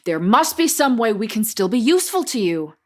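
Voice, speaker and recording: A woman playing a brash, sarcastic and exasperated young doctor sister in an audio play, blunt and excitable in manner. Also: on the high side, fairly quick. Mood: pleading.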